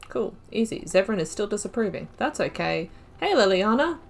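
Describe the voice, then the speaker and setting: A woman's voice: medium pitch, sing-song, moderate pace, gravelly, a little hiss or hum. A woman giving casual game commentary, thinking aloud with lots of fillers as she picks dialogue options. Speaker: gaming YouTuber. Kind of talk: casual let's play commentary.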